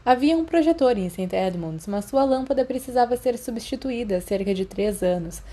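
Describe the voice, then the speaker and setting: A woman's voice: on the high side, expressive, moderate pace, warm tone. A woman with a Brazilian accent, reading scripted fan fiction as an audiobook narrator.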